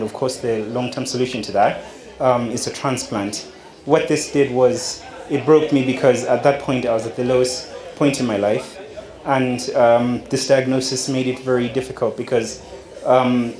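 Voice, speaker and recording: A young man from Uganda giving a personal story talk, unhurried, with many fillers and restarts. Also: medium pitch, a little mellow. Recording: echoey room.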